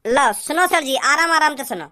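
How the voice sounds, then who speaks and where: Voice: high-pitched, animated, normal speed, bright, forceful tone. A performer voicing a dim schoolboy in a comic cartoon, pleading and repeating himself as he stalls with excuses. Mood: confident. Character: dim, excuse-making cartoon schoolboy who fumbles his recitation.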